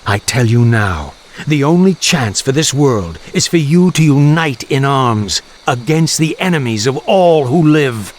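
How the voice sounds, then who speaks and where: A man playing a solemn, remorseful prophet wizard in a video game, speaking gravely and portentously. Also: medium pitch, very animated, unhurried. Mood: solemn, urgent. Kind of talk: dramatic video game cutscene acting. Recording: dramatic music behind the voice.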